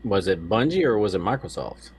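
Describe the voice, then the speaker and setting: A man's voice: lowish voice, sing-song, brisk, a little mellow, slight background noise. A man talking casually as a podcast panelist.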